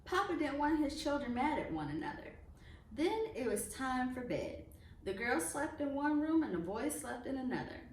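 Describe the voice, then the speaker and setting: High, animated woman storybook reader in echoey room. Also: normal speed. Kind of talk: expressive read-aloud narration of a children's picture book. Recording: roomy echo, distant mic, faint noise.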